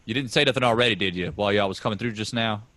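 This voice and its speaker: A man's voice: on the low side, rapid-fire, pushed and bright. A man playing a hot-tempered farm son in a staged reading, blunt and snappish.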